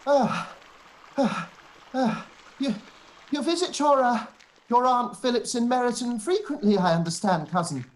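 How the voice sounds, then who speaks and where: A woman playing a pompous, obsequious male clergyman in a period radio play, halting and formal. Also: fairly high pitch, expressive. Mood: flustered. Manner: halting, self-satisfied, formal.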